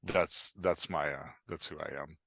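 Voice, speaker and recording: Bright-voiced man joking through casual podcast advice. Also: a bass voice, fairly even intonation, unhurried, creaky, no room sound, clean studio sound. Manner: emphatic, joking, acts out imagined exchanges. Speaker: guest expert on writing fiction dialogue. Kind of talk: animated casual podcast chat.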